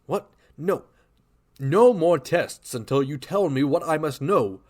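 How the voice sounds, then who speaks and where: An earnest old-time radio hero. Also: male voice, a little high, expressive, slow pace, a little mellow. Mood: demanding. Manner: earnest, dramatic, declamatory. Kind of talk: 1940s radio acting.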